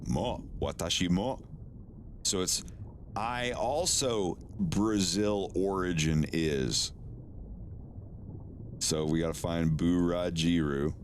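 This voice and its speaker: A male streamer chats loosely with his viewers in a very low voice. He talks slowly, stammers and repeats words. A faint hiss sits behind him. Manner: relaxed, stammers and repeats words, swears casually. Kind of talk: casual live-stream chat while doing language lessons.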